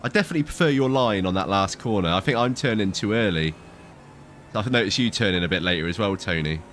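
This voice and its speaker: Stuffy-nosed man, low voice. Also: quick pace, fairly bright tone, a little hiss or hum. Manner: chatty, rambling, laughs easily. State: stuffy from hay fever. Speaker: sim racing streamer. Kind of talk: casual chat while racing.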